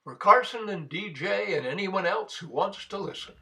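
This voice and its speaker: An older man with a husky voice reads a children's storybook aloud in a slow, animated, expressive way. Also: sits high. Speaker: volunteer story reader, a grandfather.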